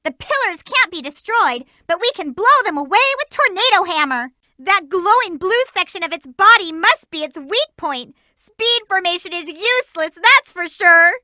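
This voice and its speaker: A woman's voice: very high voice, expressive, moderate pace, few pauses, bright, forceful tone, no room sound, clean studio sound, full character voice. A woman voicing a peppy, eager young female hedgehog heroine for a video game, giving upbeat instructions to her team. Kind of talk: game voice clips.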